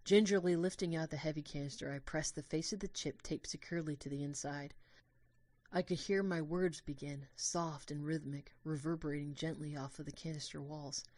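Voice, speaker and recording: A woman's voice: low-pitched, steady tone, normal speed, darkish tone, dry recording. A woman reading scripted first-person story narration as the narrator of an audio drama.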